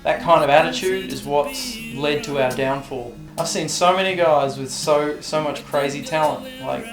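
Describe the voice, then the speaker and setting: A man's voice: on the high side, lively intonation, moderate pace. A man from Tasmania with an Australian accent, talking casually and reflectively as an interviewed skater. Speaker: professional vert skater, interviewee. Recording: roomy echo, guitar music behind. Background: guitar music.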